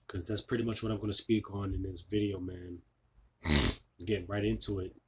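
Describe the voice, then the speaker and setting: A man losing his voice, giving an informal scripture sermon, halting with many fillers and restarts. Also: low voice, fairly even intonation, fairly bright tone, a little hiss or hum. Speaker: preacher.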